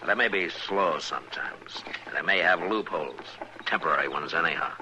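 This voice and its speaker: An American actor playing a firm, steady Old West marshal in a radio western, with a dry, measured, authoritative delivery. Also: male voice, sits low, rough recording. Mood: firm, warning. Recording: old radio broadcast with background hiss.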